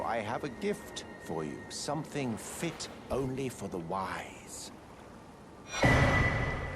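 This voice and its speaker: Sly cultist, slow, swooping delivery. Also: male voice, lowish voice, vocal fry, background noise, exaggerated voice. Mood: coaxing, patronizing. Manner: theatrical, insinuating, condescending. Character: smooth-talking, sly, condescending male cultist mentor. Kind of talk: fantasy video game character acting.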